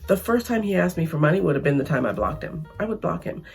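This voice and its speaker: A Canadian woman talking through an opinion vlog in a chatty, emphatic way, hoarse from losing her voice. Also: lowish voice, expressive, quick pace, darkish tone, faint music behind. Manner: chatty, emphatic, frequent restarts. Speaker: vlogger.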